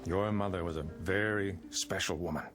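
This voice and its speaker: An actor playing a middle-aged financial consultant, unsettlingly polite and prying, with apologetic hesitations, in a vintage TV drama. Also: male voice, low voice, fairly even intonation, normal speed, fairly bright tone. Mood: smooth flattery. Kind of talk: mid-century American TV drama acting. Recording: vintage TV soundtrack with music behind.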